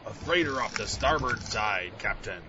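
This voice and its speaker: A man's voice: lowish voice, animated, moderate pace, a crowd in the background, natural voice. A man playing a dutiful pirate crewman, answering obediently in a comedic audio drama. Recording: dull band-limited audio, very noisy.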